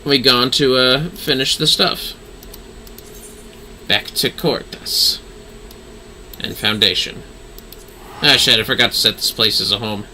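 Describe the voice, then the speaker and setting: A man's voice: steady tone, slow pace, long pauses, sharp tone, a little hiss or hum. A man giving casual, offhand livestream commentary on a game he is playing, muttering as he goes. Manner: low-key, offhand muttering. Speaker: game streamer.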